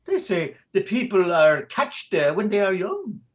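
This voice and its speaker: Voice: medium pitch, sing-song, a little slow. A performer voicing a flustered Irish priest who pretends to be Flemish, with a thick Irish brogue and a theatrical, wheedling delivery. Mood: blustering. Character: Irish priest posing as a Flemish chaplain, male. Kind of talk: stage-play reading.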